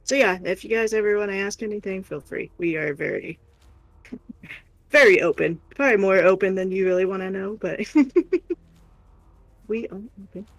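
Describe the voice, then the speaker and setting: A woman's voice: medium pitch, moderate pace, pauses often, no room sound, soft background music. A woman chatting casually and candidly as a livestream co-host, rambling informally.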